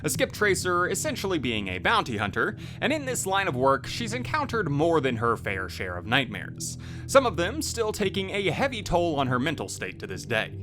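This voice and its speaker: A man's voice: on the high side, huge pitch swings, moderate pace. A man giving scripted narration as a video host. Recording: music playing behind the voice. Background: loud music.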